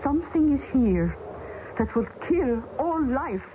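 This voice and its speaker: An actress playing a grave, fatalistic woman stranded in the Arctic, speaking with solemn, halting, broken English, in an old radio drama. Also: high voice, very animated. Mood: ominous. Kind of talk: 1970s radio drama acting. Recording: hissy, tinny old radio broadcast.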